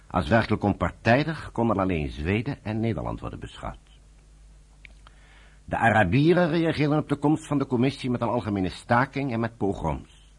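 A man's voice: fairly low pitch, very animated, moderate pace, husky. A man reading scripted narration for a Dutch radio play.

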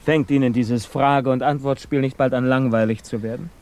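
A man playing a guarded, evasive émigré physicist under suspicion in a 1950s German radio drama, speaking formally and hesitantly, quietly troubled. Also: medium pitch, fairly even intonation, moderate pace, smooth, natural voice. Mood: wary, challenging.

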